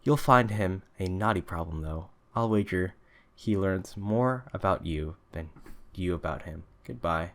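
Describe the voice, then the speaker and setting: A man voicing a genial, teasing gentleman friend in a storybook, in a plain conversational way. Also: sits low, unhurried.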